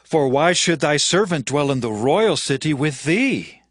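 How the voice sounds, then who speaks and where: A man's voice: fairly high pitch, lively intonation, unhurried, a bit bright, no echo, clean studio sound. A man playing an earnest, loyal young warrior in a dramatized scripture recording, speaking formally and declaiming his lines. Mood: humble request.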